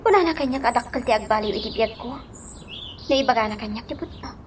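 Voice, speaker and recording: An earnest, kindly young woman, acted by a woman in a radio drama, asks questions with concern. Her pitch sits fairly high, and there is noisy outdoor-like ambience behind her. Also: fast, close to an everyday voice. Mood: earnest, puzzled.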